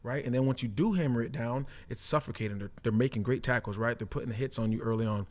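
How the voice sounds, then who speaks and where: A man's voice: medium pitch, quick pace. A man, a former player working as an analyst, chatting casually about football and emphatically checking in with his listeners. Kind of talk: casual sports analysis chat.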